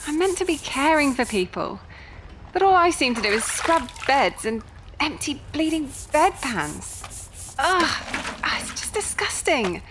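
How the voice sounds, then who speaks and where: High-voiced, sardonic young nurse. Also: female voice, sing-song, noisy background. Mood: grumbling. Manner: wry, conversational, quick to grumble. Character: young Victorian nurse, sardonic, chatty, secretly soft-hearted. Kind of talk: audio drama acting.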